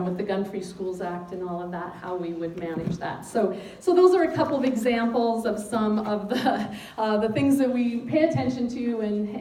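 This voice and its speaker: An American woman addresses a local gathering as school superintendent, pausing often with ums. Her voice sits mid-range and is picked up from a distance in an echoey room, with a faint hiss underneath. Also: mid-range voice. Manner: frequent ums, speaks of having a loud voice. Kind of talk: public speech to a civic gathering. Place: meeting room.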